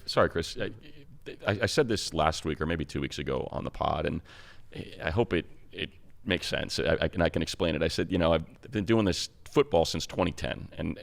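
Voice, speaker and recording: A man's voice: low-pitched, gravelly. An American man interviewing conversationally as a sports podcast co-host, wordy, rephrasing his questions as he goes. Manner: wordy, rephrases questions, voices imagined quotes.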